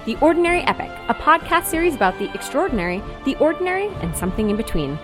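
A woman delivers a promotional podcast voice-over, quick and very animated. Clear music plays underneath her fairly high voice. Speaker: podcast trailer announcer.

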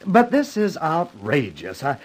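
An actor playing a pompous, easily rattled gentleman in a radio crime drama, wordy and given to indignant stammering. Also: a little high, huge pitch swings, a little slow, heavily acted. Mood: indignant, flustered.

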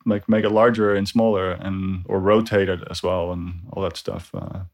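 A man's voice: low voice, little pitch movement, moderate pace. A man being interviewed as a designer, recalling past work in a casual, informal, loosely phrased way. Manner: informal, loosely phrased, often quoting dialogue. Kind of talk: casual spoken recollection.

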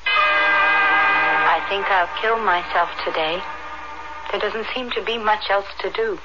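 A lifeless young woman patient, played by a radio actress, speaks very slowly and obediently in a flat tone. Music plays loudly beneath her on a dull old radio drama recording. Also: medium pitch, lightly acted. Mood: numb despair. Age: 20s. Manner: dull, flat, toneless delivery.

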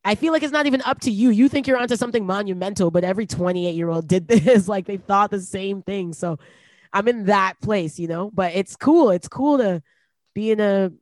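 A woman's voice: medium pitch, fairly quick, darkish tone, no room sound. Reflective woman rapper, dry close sound. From Canada. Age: late 20s. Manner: reflective, lots of "like" fillers, laughs easily. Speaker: rapper guest. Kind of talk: casual interview chat.

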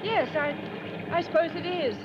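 An actress playing a proud, headstrong English wife on an expedition, haughty and clipped, in 1950s radio drama style. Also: female voice, very high-pitched, normal speed. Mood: grudging, polite. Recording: muffled, noisy old radio broadcast.

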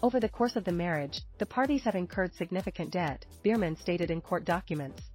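A woman serves as narrator for a celebrity news report, reading from a script in tabloid style. Her pitch sits somewhat low, and soft music plays behind her. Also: fairly quick. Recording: music bed under the voice. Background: background music.

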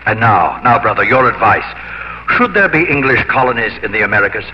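A man playing an eager, persuasive Elizabethan gentleman adventurer, hearty and theatrical in a 1950s radio drama. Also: lowish voice, animated, brisk, a little hiss or hum, natural voice. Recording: muffled 1950s radio broadcast with music.

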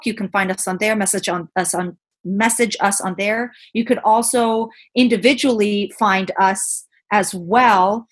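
A woman speaking as a podcast guest, giving conversational interview answers. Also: mid-range voice. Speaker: podcast guest, physical therapy student.

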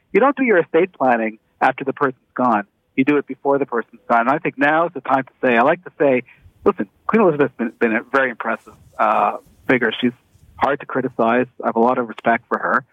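A man's voice: mid-range voice, no echo, narrow, tinny audio. Opinionated male panelist on a thin line. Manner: argumentative, opinionated. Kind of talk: radio panel debate.

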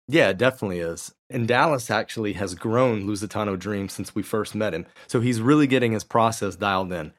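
Low-voiced man co-hosting a podcast. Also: brisk, few pauses. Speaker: podcast co-host. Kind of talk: casual podcast chat and sponsor reads.

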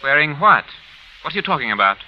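A lo-fi old radio recording with faint noise carries an indignant private secretary. His voice is mid-pitched and animated, stiffly formal and dismissive. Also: quick pace. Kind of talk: old-time radio drama acting.

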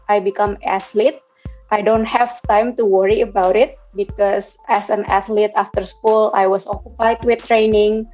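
A woman in her twenties from Indonesia, speaking English as a second language with an Indonesian accent, answering interview questions in halting, simple phrasing. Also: medium pitch. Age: 20s. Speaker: athlete guest. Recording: slightly muffled with soft music.

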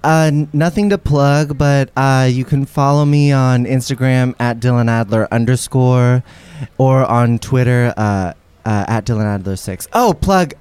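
A man's voice: mid-range voice, unhurried, a little mellow, clean tone. A man chatting casually as a podcast guest, hesitant and filling his sentences with "like". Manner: hesitant, peppers speech with "like". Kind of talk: casual chat.

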